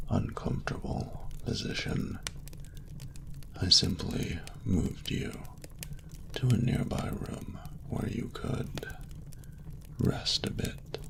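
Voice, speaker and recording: Casting: male actor as a courtly dragon lover for romantic audio roleplay; very slow, breathy and gravelly, teasing and seductive. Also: full character voice. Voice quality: deep gravelly half-whisper. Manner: slow, breathy, formal archaic phrasing, seductive. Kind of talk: scripted romantic audio roleplay, intimate address to listener.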